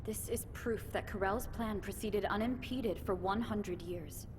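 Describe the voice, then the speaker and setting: A woman playing a solemn, long-sealed warrior in a video game, speaking in formal, archaic, measured phrases. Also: mid-range voice, noisy background, close to an everyday voice. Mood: solemn, yearning. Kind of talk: video game cutscene dialogue acting.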